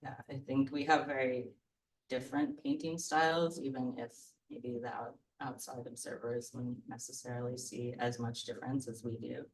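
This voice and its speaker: A woman's voice: rumbling low, level tone, normal speed. Woman painter, scratchy voice, earnest and reflective; distant, roomy live-event sound. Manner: thoughtful, earnest, gently admiring. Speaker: painter and guest. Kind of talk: casual public conversation. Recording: roomy sound from far off the mic.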